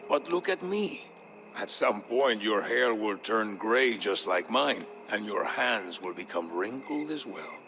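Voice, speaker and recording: A man's voice: on the low side, animated, a little slow, creaky, sharp tone, a little hiss or hum, full character voice. A man voicing a kindly, gray-haired old man who shares his people's history in an amicable, wise way for a game story. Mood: kindly, wistful.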